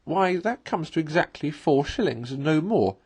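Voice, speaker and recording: A man voicing a conceited, excitable toad from a storybook in a pompous, theatrical, emphatic manner. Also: a little high, moderate pace, husky, voice pushed up. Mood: haggling, affected.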